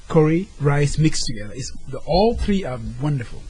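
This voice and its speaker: A man's voice: on the high side, lively intonation, moderate pace, mellow, breathy edge, low-quality recording. A man from Senegal, a guest talking earnestly and sometimes searching for his words. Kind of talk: casual interview talk.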